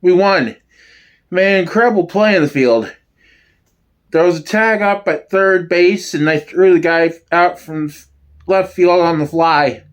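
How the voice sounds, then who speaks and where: A man's voice: high voice, unhurried, smooth. A man performing a wry, sardonic younger comedian in a one-man sitcom reading. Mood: boastful.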